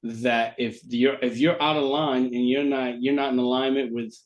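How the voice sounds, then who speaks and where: A man co-hosting a podcast, calmly explaining and checking that the others follow. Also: mid-range voice, normal speed, highs cut off. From Brazilian and Puerto Rican descent. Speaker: podcast co-host. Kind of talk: casual explaining.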